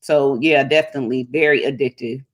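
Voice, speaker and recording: A woman's voice: a bass voice, steady tone, a little fast. A middle-aged woman, chatting casually with her viewers and laughing often. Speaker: vlogger. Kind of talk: casual live chat with viewers.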